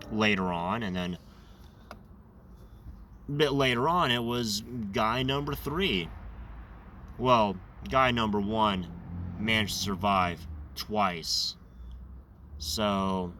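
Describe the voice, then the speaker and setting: A man with a lowish, raspy voice that turns a little nasal, sounding congested and wired on caffeine. He drifts along slowly in a rambling monologue, correcting himself as he goes. He sits a bit back from the mic, with a bright edge to the sound and faint noise behind him. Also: recorded from a distance. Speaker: channel host. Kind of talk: casual monologue.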